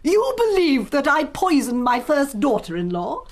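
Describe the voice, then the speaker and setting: A woman's voice: high voice, wildly swooping pitch, unhurried, heavily acted. An actress in a radio drama playing a cold, defiant elderly woman accused of murder, speaking with haughty indignation. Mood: scornful.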